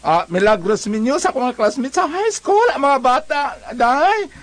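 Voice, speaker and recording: Male radio host, unusually high pitch, Cebu accent, conversational sponsor shout-outs. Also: sing-song, normal speed, no room sound.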